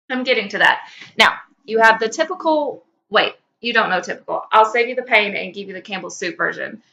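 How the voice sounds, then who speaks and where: A woman co-hosting a podcast, informal and expressive, moving between casual chat and reading fan fiction aloud. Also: mid-range voice, gravelly, dull audio. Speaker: podcast co-host.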